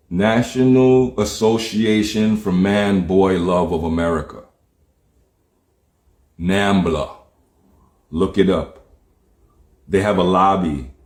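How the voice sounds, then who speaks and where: A man from Jamaica, delivering a heated, profane monologue on a livestream and firing off rhetorical questions. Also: low voice. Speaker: livestream host. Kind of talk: ranting monologue. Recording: roomy echo.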